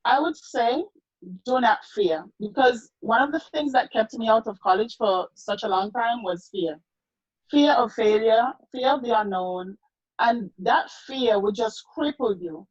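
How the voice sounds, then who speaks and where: A woman's voice: a little high, a little slow, slightly rough. A woman graduate giving firm, encouraging advice. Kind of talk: video call conversation. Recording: dull lo-fi call audio.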